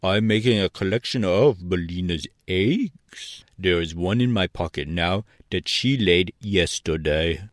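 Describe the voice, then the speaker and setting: A man voicing a gentle, good-natured male scarecrow who speaks slowly and mildly. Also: low-pitched, dry recording, studio quality.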